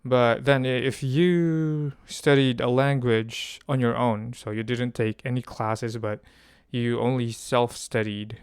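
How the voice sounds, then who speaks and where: Young man from the Philippines, vlogger, very clear dry voice, rambling stream-of-thought delivery. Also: mid-range voice, fairly even intonation, takes it slowly, dry recording. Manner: rambling, many fillers and restarts. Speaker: language-learning vlogger. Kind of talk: unscripted stream-of-thought talk to camera.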